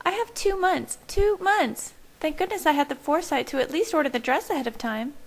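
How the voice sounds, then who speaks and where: A woman plays an excitable young vampire sister in an audiobook. The voice sits very high. The delivery is brisk and emphatic, with a bossy, bubbly temper. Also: gravelly. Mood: excited, scoffing. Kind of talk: audiobook character voice.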